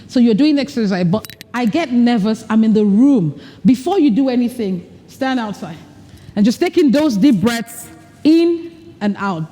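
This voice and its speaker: A woman gives a workshop talk in a large hall, direct and coaching, sometimes acting out little dialogues. Her voice sits fairly high with a mellow, warm tone. A long reverb trails after each phrase. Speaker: speaker at a women's leadership retreat. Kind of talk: live workshop talk with breathing exercises. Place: large event hall with an audience. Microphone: recording mic, not amplified.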